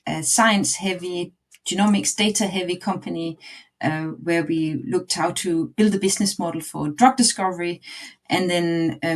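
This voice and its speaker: Measured woman telling her career story. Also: mid-range voice. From Denmark. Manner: measured, fluent, occasional fillers and light laughs. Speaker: guest entrepreneur. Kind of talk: interview answer.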